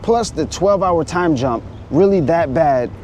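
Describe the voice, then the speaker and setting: Upbeat New travel vlogger. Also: male voice, fairly high pitch, animated. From New York. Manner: upbeat, rushed on the move. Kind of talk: casual travel vlog to camera. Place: airports and city streets. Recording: loud background noise on location.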